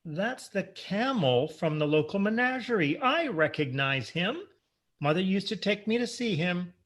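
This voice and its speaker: Timid grown son played by a man for radio comedy: earnest, meek, higher-pitched, very animated intonation. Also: a little slow, exaggerated voice. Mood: anxious. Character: timid, dutiful grown son under his mother's thumb. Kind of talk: comic old-time radio play acting.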